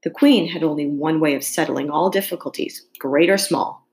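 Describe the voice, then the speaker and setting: A woman narrates a children's classic with a slightly low voice. Her reading is expressive and scripted, in the style of storytime. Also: moderate pace, poor audio. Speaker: storybook reader.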